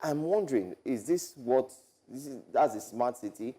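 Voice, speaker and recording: A man's voice: medium pitch, animated, normal speed, mellow, breathy edge. A man co-hosting a morning talk show, chatting loosely with many fillers and then reading out the headlines. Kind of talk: casual studio chat and headline reading.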